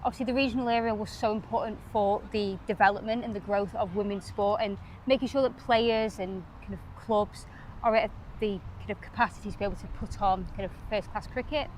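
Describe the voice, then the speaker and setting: A young woman with a Northwest English accent, chatting loosely as a guest in an outdoor pitchside interview. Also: fairly even intonation, a little fast, a little mellow, a little hiss or hum, poor audio. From Northwest England. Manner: loose, chatty phrasing with frequent hedging fillers. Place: outdoors at a cricket ground.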